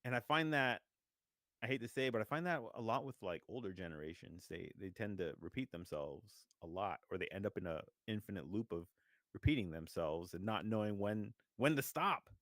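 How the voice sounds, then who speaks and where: A man co-hosting a radio chat show, who tells animated stories and gets riled up about what bothers him. His voice is on the lower side and sounds dry and close. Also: brisk, no echo. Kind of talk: casual chat.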